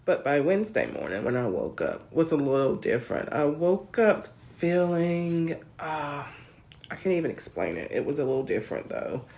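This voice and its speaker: A woman with a naturally deep voice, hoarse while ill, telling a casual, rambling personal story and correcting herself as she goes. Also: sing-song, normal speed, darkish tone. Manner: rambling, self-correcting, conversational. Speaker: vlogger.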